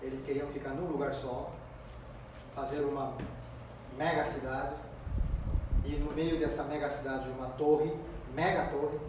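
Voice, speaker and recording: A man preaches from far across a very echoey church sanctuary, his slightly high voice carrying through the noisy room. His delivery is emphatic, slowing down on key words and swinging between loud and hushed. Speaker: pastor. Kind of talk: expository sermon.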